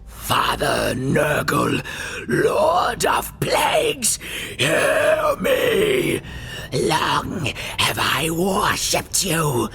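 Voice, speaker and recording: An actor playing a fanatical human champion of Chaos in a fantasy video game, ranting theatrically with a harsh, gravelly voice. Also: male voice, fairly high pitch, huge pitch swings, bright, forceful tone, heavily acted. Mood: fervent, pleading. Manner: bombastic, ranting, drawn-out theatrical delivery. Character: fanatical male human champion of Chaos and plague-god worshipper.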